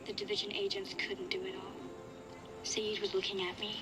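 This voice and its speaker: An actress playing a wistful young girl survivor, narrating a reflective, measured audio diary for a video game. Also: medium pitch, little pitch movement, loud music behind the voice, lightly acted. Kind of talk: scripted first-person audio diary narration.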